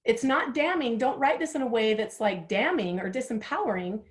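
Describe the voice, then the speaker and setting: A forty-year-old woman runs a guided writing workshop in a chatty, candid way, often laughing at herself. Her voice sits a little higher than most women's. She talks to her listeners directly and keeps things informal. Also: expressive, moderate pace. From Utah, United States. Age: 40s. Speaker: workshop host.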